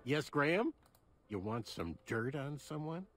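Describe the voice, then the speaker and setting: An actor playing a sly, scheming little gossip in a fantasy video game, conspiratorial and theatrical. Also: male voice, medium pitch, sing-song, unhurried, soft background music. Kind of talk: animated video game acting.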